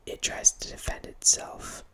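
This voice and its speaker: A man in his thirties whispering a casual, rambling talk close to a studio mic in a small home room, often restarting his sentences. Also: measured pace. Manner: rambling, self-correcting, casual. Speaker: host. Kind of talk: whispered ASMR horror film ramble. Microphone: studio mic close up, headphones.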